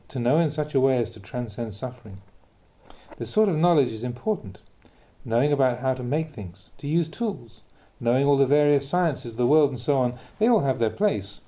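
Very mellow, dark-voiced man reading Buddhist teachings. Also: medium pitch. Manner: measured, deliberate, instructive. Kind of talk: scripted reading of a dharma talk.

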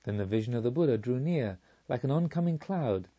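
A man reading scripted literary narration as an audiobook reader, in a solemn, measured manner. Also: medium pitch, expressive, lo-fi sound.

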